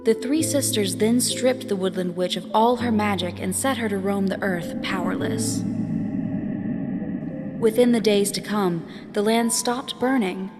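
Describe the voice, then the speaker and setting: A woman narrating a scripted fantasy story in a solemn, deliberate, dramatic way. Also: medium pitch. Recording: reverberant hall, music behind.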